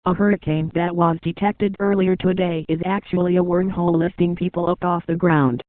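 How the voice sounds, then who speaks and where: A man's voice: high-pitched, little pitch movement, a little fast, no room sound. A man reads a scripted emergency announcement for a TV station, with a formal, impersonal delivery. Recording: narrow phone-like band, dry.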